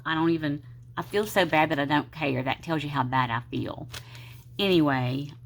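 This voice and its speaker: A woman, sick and congested with a sore throat, chatting tiredly to viewers from her desk at home. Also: a little hiss or hum. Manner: tired, low-energy, rambling. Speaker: planner vlogger. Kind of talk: casual vlog talk to viewers.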